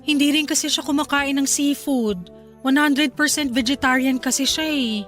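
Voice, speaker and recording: A young bride-to-be in a radio drama. Her voice is high, sweet and polite, always agreeable, with music playing behind her. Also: fairly even intonation, normal speed, fairly bright tone. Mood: sweet, grateful. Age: young adult. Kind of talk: Filipino radio drama acting.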